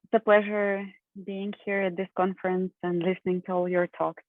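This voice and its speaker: A woman's voice: medium pitch, level tone, moderate pace, no echo. A woman from Russia giving a talk at an online conference over a video call, friendly and sprinkled with small laughs and fillers. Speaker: conference speaker. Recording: tinny phone-like online call audio.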